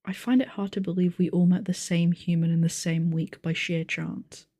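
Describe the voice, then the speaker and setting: A woman's voice: fairly low pitch, flat delivery, normal speed, warm tone, dry recording, studio quality. A woman playing a strict, blunt game master of a nonhuman species, matter-of-fact and pedantic, in an ASMR audio roleplay. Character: strict, blunt, rule-following game master with sensitive ears, female nonhuman.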